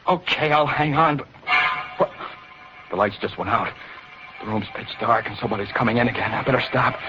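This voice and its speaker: A man's voice: mid-range voice, brisk, loud music behind the voice, lightly acted. A man playing a tough, indignant kidnapped war veteran in 1940s radio drama, with an American accent and blunt, slangy, urgent speech. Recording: dull, noisy vintage radio recording.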